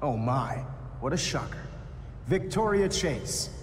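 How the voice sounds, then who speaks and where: A man playing a suave, encouraging art teacher in a video game, polished but with small hesitant pauses. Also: mid-range voice, expressive. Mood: showy, public. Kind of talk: video game cutscene dialogue and stage announcement. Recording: echoey hall, crowd and noise behind.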